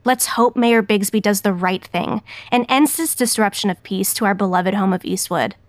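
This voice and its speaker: A woman's voice: mid-range voice, moderate pace, no room sound, close to an everyday voice. A woman playing a righteous, combative online political commentator, pointed and insistent in an audio drama. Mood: rallying. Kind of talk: scripted audio drama acting as a video presenter.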